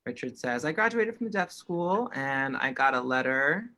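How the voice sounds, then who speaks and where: A man's voice: medium pitch, animated, normal speed, pushed and bright. A man working as a sign language interpreter, voicing panelists' signed remarks in the first person over an online call, with frequent restarts.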